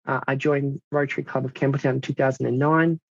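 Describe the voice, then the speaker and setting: An Australian man in his thirties gives a presentation, pausing often with ums and uhs. His voice has a gravelly edge, and the sound is dry and a little dull, as on a lo-fi recording. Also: medium pitch, little pitch movement, no echo. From South Australia. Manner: halting, many fillers. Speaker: club leader presenting. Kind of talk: presentation to a club audience.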